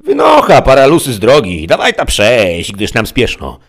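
A coarse peasant woman shouts and scolds at high pitch, as a male narrator puts her on. Her voice keeps a husky edge through the yelling. She is a rough country girl in a storybook reading. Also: wildly swooping pitch, moderate pace. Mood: scolding. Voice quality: shrill shouting.

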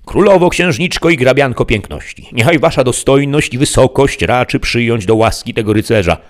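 A man doing an excitable, flattering squire. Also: medium pitch, normal speed, voice pushed up, lightly acted. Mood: pleading. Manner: effusive, pleading.

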